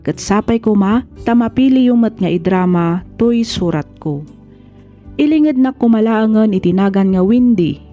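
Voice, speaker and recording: A woman's voice: mid-range voice, lively intonation, moderate pace, dark tone, soft background music. A woman with an Ilocano accent hosting and narrating a radio drama in a scripted, dramatic style.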